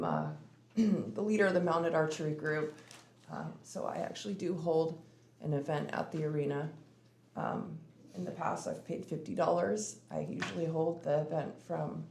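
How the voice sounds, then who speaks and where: Raspy-voiced woman at a meeting. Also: deep voice, a little mellow, a little hiss or hum. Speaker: public commenter at a city commission meeting. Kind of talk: public comment at a meeting. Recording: echoey meeting room.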